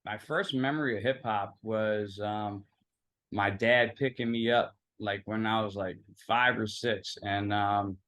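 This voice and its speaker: A man's voice: on the low side, fairly bright tone. A young man from Philadelphia whose first language was Italian, speaking as an interview guest in a loose, rambling way with many fillers. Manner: rambling, filler-heavy, storytelling. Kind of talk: casual interview conversation.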